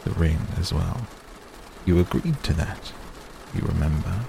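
A man voicing a grave old wizard in a story reading, watchful and quietly commanding, measured and thoughtful, on a noisy recording. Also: sits low, expressive, vocal fry, heavily acted. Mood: quietly insistent.

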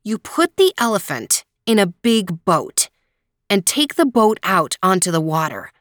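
A woman's voice: mid-range voice, big pitch swings, a little slow, fairly bright tone, no echo, clean studio sound. A woman voicing a clever, eager young boy explaining his plan in a children's story. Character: clever, eager little boy, the emperor's son.

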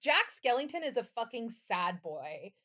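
Lively, higher-pitched woman bantering emphatically. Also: unhurried. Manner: emphatic, candid, freely swearing. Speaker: podcast co-host. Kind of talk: casual astrology banter.

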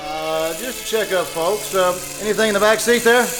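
An actor playing a curt police officer in an old-time radio drama, halting and official. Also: male voice, sits high, over music, lightly acted, low-quality recording. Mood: brusque. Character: brusque roadblock police officer.

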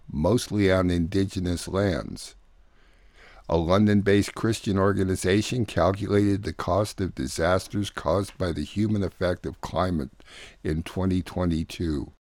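Male radio host, deep register, scripted news-show announcing, very dry studio-style sound. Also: darkish tone, no echo.

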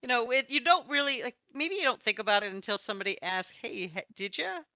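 A woman's voice: on the high side, lively intonation, fast, sharp tone, no echo, lo-fi sound. A woman hosting a casual interview about tabletop games, talking in an encouraging, reassuring manner. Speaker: podcast host and interviewer, also a game master.

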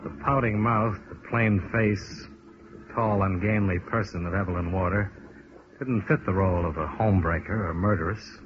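An actor playing a dogged, terse insurance investigator in a 1950s American radio detective drama, with a clipped, understated delivery. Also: male voice, low-pitched, fairly even intonation, moderate pace, close to an everyday voice. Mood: matter-of-fact narration. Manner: clipped, hard-boiled, understated. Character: dogged, terse male insurance investigator narrating his case. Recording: muffled, noisy vintage radio recording.

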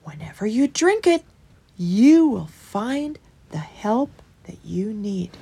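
A woman's voice: medium pitch, very animated, takes it slowly, creaky, dark tone, husky, full character voice. A woman voicing a wise, friendly male badger who speaks earnestly in a children's story.

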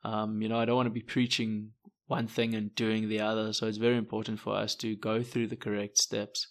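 A man's voice: on the low side, completely flat delivery, brisk. A man, a podcast co-host and adventure guide, talking in an earnest, reflective way with many fillers. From South Africa. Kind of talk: casual podcast talk.

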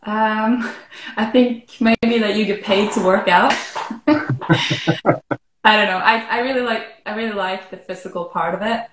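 A woman from Norway chatting casually as an interview guest, relaxed and full of "like" and "um", heard over a remote call. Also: mid-range voice, unhurried, pauses often, echoey room, noisy background. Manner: relaxed, frequent "like" and "um". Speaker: arborist guest.

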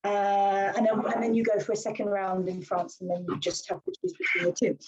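A British woman, a village councillor, talking in an animated, earnest way as a guest in a casual podcast conversation. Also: mid-range voice, fairly quick, slightly rough. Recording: slightly dull lo-fi sound.

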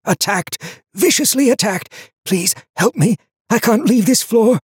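A man voicing a frightened, ragged male traveler in an audiobook, hoarse and whispery, urgent and pleading. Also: high-pitched, very animated, slow pace, no echo, clean studio sound, voice pushed up, exaggerated voice. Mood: panicked pleading. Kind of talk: audiobook character acting.

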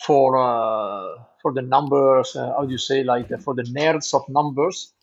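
A man in his thirties from Italy with an Italian accent tinged with Flemish, answering interview questions in halting English and often restarting words. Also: medium pitch, fairly even intonation, vocal fry, lo-fi sound. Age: 30s. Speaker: professional cyclist, guest.

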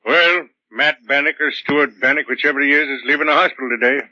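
An actor playing a cynical, weary police detective with a blunt, sardonic manner in a 1940s radio drama. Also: male voice, fairly high pitch, fast talker, bright, forceful tone. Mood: dry, resigned. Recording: dull lo-fi 1940s radio recording.

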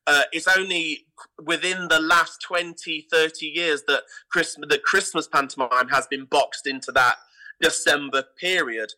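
Bright, higher-pitched British man, enthusiastic. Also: low-quality recording. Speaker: guest, artistic director of a pantomime theatre company. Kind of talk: conversational interview answers.

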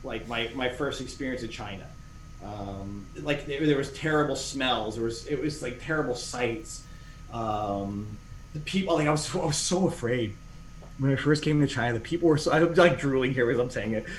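A man's voice: medium pitch, normal speed, slight background noise, poor audio. A man in his thirties from Canada, telling stories in a casual, rambling, self-deprecating way, full of restarts and fillers. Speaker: podcast guest. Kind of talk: casual conversational storytelling.

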